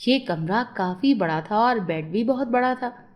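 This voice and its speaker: A woman's voice: fairly high pitch, animated, unhurried, dull audio. A woman narrating a scripted moral story for children as a storyteller.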